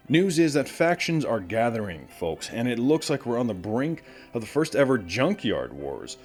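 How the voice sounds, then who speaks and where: A man's voice: medium pitch, natural voice. A man playing a chatty small-town morning radio host in a post-apocalyptic audio drama, talking straight to his listeners in a folksy way. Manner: conversational, addresses listeners directly. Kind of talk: scripted radio show monologue, folksy.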